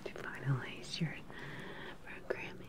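A young woman playing a calm, clinical evil scientist in an ASMR sci-fi roleplay, speaking softly and soothingly, close to the mic. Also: close to an everyday voice. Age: young adult. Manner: soft, soothing, unhurried personal attention. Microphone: close microphone, leaning in near camera.

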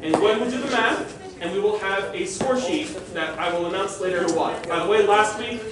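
A man loudly giving an informal, instructional rules briefing to a group as organizer of a roleplay event. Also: high-pitched, moderate pace, background noise. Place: open-sided concrete park pavilion. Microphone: far camera. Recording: echoey park shelter, distant mic, crowd around.

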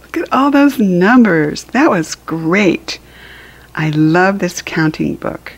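Slow, husky American woman reading to children. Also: lowish voice, lively intonation. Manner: encouraging, sing-song, deliberate enunciation for children. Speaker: children's book reader and teacher. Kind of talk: read-aloud counting lesson for young children.

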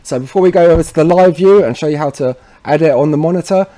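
Male tutorial presenter, relaxed mellow tone, a little higher than average, informal and chatty instructional delivery. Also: fast, poor audio. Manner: informal, chatty, frequently checks in with "okay". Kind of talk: casual instructional walkthrough.

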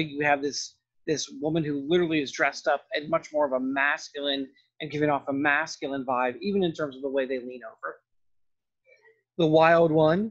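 Male lecturer, informal and enthusiastic, voice a little high and rough-edged, lo-fi voice-over. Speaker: film and art history professor. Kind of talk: informal narrated slide lecture. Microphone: voice-over on slides. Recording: dull, lo-fi voice-over recording.

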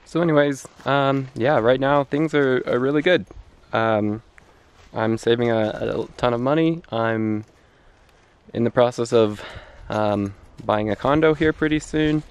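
A man of thirty chatting casually and haltingly to a handheld camera while walking outdoors on a trail, in an easygoing way. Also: medium pitch. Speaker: vlogger. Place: outdoors on a wooded canyon trail. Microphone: handheld camera at arm's length. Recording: clear outdoor background noise on trail.

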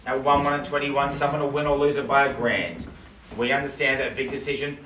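A middle-aged New auctioneer works a house sale with quick jokes and repeated bid figures. His voice sits at an ordinary pitch for a man. He is heard from across an echoey living room. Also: fairly even intonation, a bit bright. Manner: joking, rapid patter, repeating bids. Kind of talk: live property auction calling. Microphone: camera across the room. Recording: roomy, distant camera audio.

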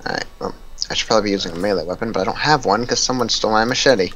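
A man's voice: lowish voice, racing, a bit bright, poor audio. A young man chatting and joking over voice chat while playing a zombie game, swearing freely. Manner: joking, swears freely, breaks into playful singing. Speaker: co-op player and friend of the host. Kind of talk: casual gaming chatter.

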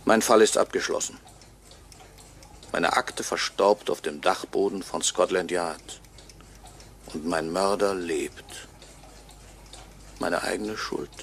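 An actor playing a wry, resigned murdered clock repairer who narrates in measured, sardonic phrases in a 1950s radio play. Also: male voice, low-pitched, gravelly, full character voice. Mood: brooding, bitter. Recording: faintly noisy 1958 radio recording.

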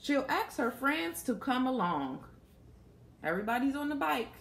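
A woman's voice: sits high, sing-song, normal speed, vocal fry, a little hiss or hum. A young adult woman reading a picture book aloud to children, stopping to put questions to her young listeners. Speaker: children's story reader. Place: small plain room indoors.

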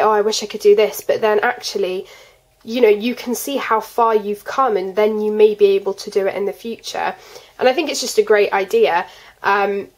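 A woman's voice: mid-range voice, low-quality recording. Bunged-up teenage girl chatting casually. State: congested with a cold. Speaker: colouring channel host. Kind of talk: casual chat to camera, showing artwork. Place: indoors at a desk.

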